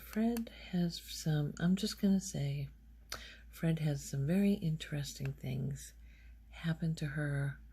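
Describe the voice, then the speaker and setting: A woman speaking softly in casual ASMR style, often slipping toward a whisper, halting as she thinks aloud. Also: low voice, slightly rough. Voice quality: soft, often breaking into whisper. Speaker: ASMR video host. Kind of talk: soft-spoken ASMR, casual rambling show-and-tell.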